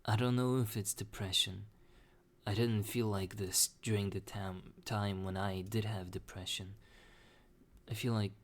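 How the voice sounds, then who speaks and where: A young man talking slowly and hesitantly in a personal confessional monologue, with many restarts. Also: fairly low pitch, steady tone. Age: young adult. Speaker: vlogger.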